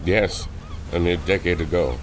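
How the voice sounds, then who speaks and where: A man's voice: deep voice, moderate pace, slight background noise, close to an everyday voice. A man playing a grave, secretive airship captain and inventor in an audio drama, cryptic and commanding.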